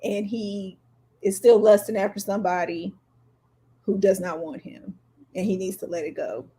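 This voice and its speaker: A woman's voice: medium pitch, fairly even intonation, moderate pace, warm tone, husky. An American woman giving candid, emphatic opinions in slangy, casual podcast chat as a co-host.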